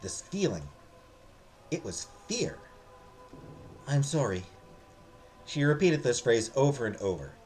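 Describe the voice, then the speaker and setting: A man's voice: mid-range voice, wildly swooping pitch, normal speed, darkish tone, a little hiss or hum, low-quality recording. A man streaming a visual novel, switching between casual chat with viewers and reading narration aloud.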